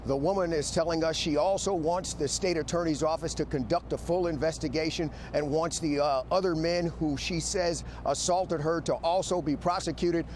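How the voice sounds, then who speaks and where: Male TV investigative reporter, American accent, fairly high-pitched, delivering broadcast news copy. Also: fairly even intonation, normal speed, few pauses, darkish tone, slight background noise.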